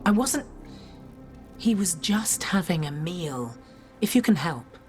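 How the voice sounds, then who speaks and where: Stern female knight investigator, moderately low pitch, businesslike, dutiful, game dialogue, soft music behind. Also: very animated, slow pace. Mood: concerned, earnest. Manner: terse, businesslike.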